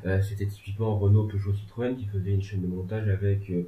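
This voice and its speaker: A man's voice: on the low side, level tone, fast talker, dark tone. A man discussing politics in a casual, rambling way, with many hesitations and joking asides. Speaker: legal and political commentator. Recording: tinny phone-like sound in an echoey room.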